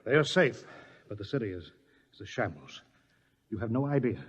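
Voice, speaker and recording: An actor playing a tense, commanding observatory director in a radio drama, urgent and authoritative. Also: male voice, on the low side, lively intonation, moderate pace, breathy edge, highs cut off. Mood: shaken. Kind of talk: mid-century radio drama acting.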